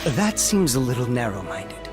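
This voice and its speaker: An actor playing a mild, humane male scientist with a reasonable, gently reproving manner in a video game. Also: mid-range voice, very animated, moderate pace, natural voice. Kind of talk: video game cutscene acting. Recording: loud music behind the voice.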